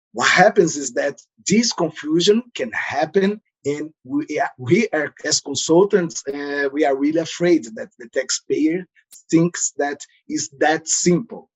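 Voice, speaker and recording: Brazilian man explaining tax rules. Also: on the high side. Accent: Brazilian Portuguese. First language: Portuguese. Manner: explanatory, with frequent "uh" and non-native phrasing. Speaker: transfer pricing consultant. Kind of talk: podcast interview answers.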